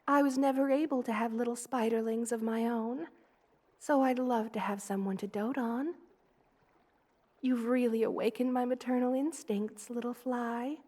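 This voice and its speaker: A woman voicing a gentle, doting spider queen in an intimate ASMR roleplay, soothing and tender in manner. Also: on the high side, fairly even intonation, lightly acted. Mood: hesitant, caring. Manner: soothing, tender, coaxing, with slow careful delivery.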